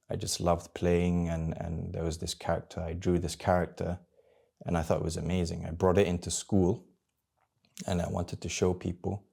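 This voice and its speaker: Man, 30s, from Malaysia; deep, dark, gravelly voice; candid, introspective vlogger who talks haltingly. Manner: halting, searching for words, many restarts. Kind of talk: personal reflective monologue.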